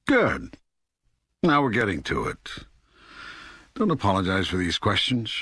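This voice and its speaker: A very deep, husky God speaks as a patient teacher in an audiobook. He is calm and authoritative, placing each phrase deliberately in a close, dry recording. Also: no echo, full character voice. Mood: encouraging. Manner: measured, deliberate, emphatic phrasing with pauses. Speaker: audiobook narrator. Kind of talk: scripted audiobook dialogue reading.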